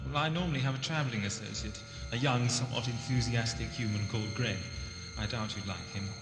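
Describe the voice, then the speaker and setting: An actor playing an inquisitive, eccentric time-travelling doctor in a science fiction audio drama, musing aloud in a wry, theatrical way. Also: male voice, medium pitch, fairly quick, close to an everyday voice. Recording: reverberant hall with music behind.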